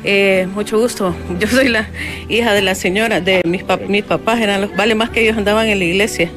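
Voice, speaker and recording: A woman's voice: medium pitch, fairly even intonation, fairly quick. Honduran woman interviewed, faint music behind. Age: middle-aged. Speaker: interviewee, relative of victims. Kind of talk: spontaneous street interview. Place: outdoor patio. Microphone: handheld interview microphone.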